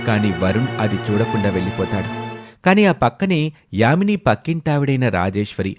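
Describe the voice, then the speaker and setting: A man's voice: lowish voice, big pitch swings, normal speed. A man narrating a scripted story as a storyteller, over background music.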